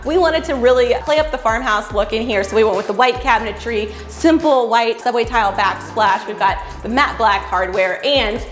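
An enthusiastic woman in her thirties walks through a freshly renovated, empty house, explaining each design choice. Her voice sits somewhat high. The bare rooms give an echoey sound, with faint music underneath. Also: normal speed, hardly any pauses. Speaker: house flipper co-host. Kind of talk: casual home-tour chat.

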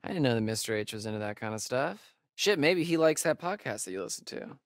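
A man's voice: mid-range voice, expressive, no echo, natural voice. Man playing a wisecracking young American guy, dry recording. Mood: joking, animated. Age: young adult. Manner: casual, sarcastic, halting asides. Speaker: video game voice actor. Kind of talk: naturalistic video game dialogue.

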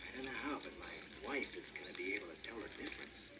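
A man voicing a scheming male crocodile who talks like a fast-patter huckster in a storybook tale. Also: lowish voice, expressive, natural voice. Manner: fast-talking huckster patter with "hey" and "I mean". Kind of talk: storybook character voice. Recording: dull noisy old recording.

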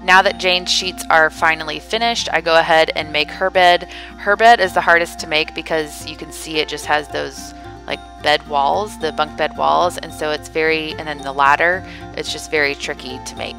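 A woman's voice: sits low, fairly even intonation, moderate pace, bright, forceful tone, soft background music. Chatty woman vlogging her cleaning day. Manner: chatty, upbeat, lots of "like" and "um". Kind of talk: cleaning vlog narration and selfie chat. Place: large house, indoors. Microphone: phone camera at arm's length.

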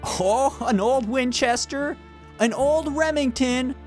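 A young man playfully hosting a group gameplay roleplay, excitable, bossy and theatrical. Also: extremely high pitch, slow pace, soft background music. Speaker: gaming video host, leading a group roleplay.

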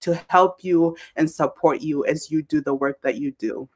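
A woman's voice: sits low, moderate pace, a little mellow. A woman of twenty from Zimbabwe asking thoughtful questions as a student interviewer on a video call from a bedroom. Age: 20s. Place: small bedroom at home. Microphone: laptop webcam on video call.

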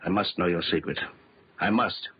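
An actor playing a determined but uneasy detective in a 1930s radio drama, earnest, halting and urgent. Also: male voice, low-pitched, slightly rough. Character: determined, uneasy male detective demanding answers. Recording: dull old 1930s radio recording.

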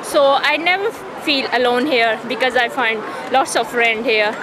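Earnest young woman from India, noisy street. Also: on the high side. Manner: simple, earnest, halting phrasing. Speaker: interviewee. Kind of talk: casual interview. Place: outdoors on steps of a city building. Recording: loud crowd and street noise. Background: crowd at a street march.

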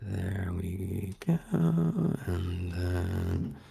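A man running a tabletop game as game master, speaking haltingly and searching for words. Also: deep voice, very animated, vocal fry, warm tone, slight background noise.

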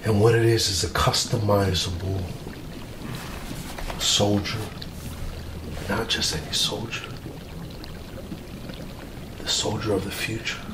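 A man playing an eager, self-important mad scientist doctor in an ASMR roleplay, musing aloud in halting short phrases. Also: fairly low pitch, steady tone. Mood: proud, excited. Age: middle-aged. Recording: roomy, distant mic with loud noise.

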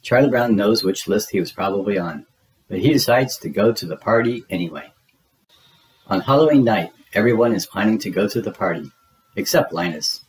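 A man narrating a children's storybook in an expressive, deliberate storytelling manner. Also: lowish voice, slightly rough, poor audio. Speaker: read-aloud narrator. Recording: music behind the voice. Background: music.

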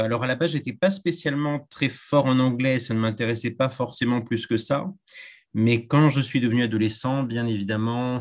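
A man whose first language is Spanish, a tour guide, answering interview questions thoughtfully with frequent hesitations. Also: fairly low pitch.

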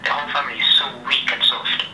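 A man's voice: medium pitch, little pitch movement, rapid-fire. Young man, slangy repetitive phone chat, echoey speakerphone, noisy. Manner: repetitive, slangy, tags sentences with "yeah" and "innit". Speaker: phone call participant. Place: phone speaker held up in a room.

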